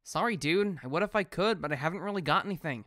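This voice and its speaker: A woman acting as an earnest teenage boy hero in a comic dub. The voice is bright and very expressive, casual and a little awkward, with a dry, close sound. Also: on the low side, quick pace, no room sound. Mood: exasperated.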